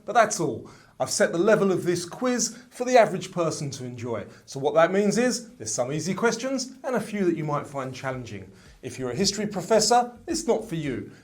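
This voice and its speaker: Higher-pitched, animated male quiz presenter. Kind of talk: scripted quiz narration.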